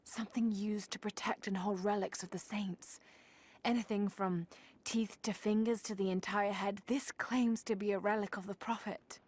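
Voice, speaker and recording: Game heroine: thoughtful young female archaeologist, breathy and hushed, examining things to herself female actor. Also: medium pitch, steady tone, moderate pace, close to an everyday voice. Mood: musing, curious.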